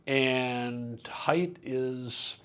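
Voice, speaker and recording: A man's voice: medium pitch, drawn-out words, a bit bright, clear, resonant tone. A man conducting a casual product interview as a reviewer, methodically checking and repeating what he hears. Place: hi-fi trade show exhibit.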